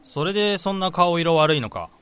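A man acting in a radio drama as a blunt, work-shy senior office colleague with rough, complaining, casual speech. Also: fairly high pitch, animated, moderate pace, fairly bright tone. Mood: concerned.

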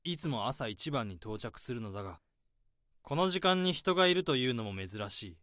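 A man in his thirties narrating a radio drama in the first person, in a slow, subdued, deadpan way. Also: mid-range voice, dry recording, clean studio sound. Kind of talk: radio drama inner monologue.

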